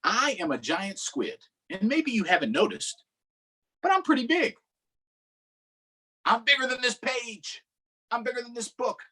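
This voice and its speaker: A man's voice: very high voice, sing-song, a little slow, pauses often, bright, forceful tone, voice pushed up, full character voice. A man voicing a boastful giant squid, emphatic and proud of its size, reading a children's picture book aloud. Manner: bragging, emphatic.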